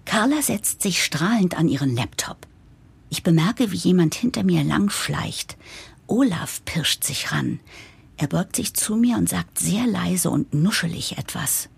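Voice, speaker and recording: An actress playing a determined new managing director who narrates an audio drama, expressive, with a breathy, husky voice. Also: female voice, on the low side, unhurried, heavily acted. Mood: wry, observing. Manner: expressive, explanatory. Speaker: narrator and lead character. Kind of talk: German audio drama, first-person narration and dialogue.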